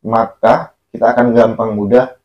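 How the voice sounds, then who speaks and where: A man's voice: mid-range voice, level tone, moderate pace, a little mellow, muffled recording. A man talking in a casual religious chat, blunt and preachy, laughing often. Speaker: content creator.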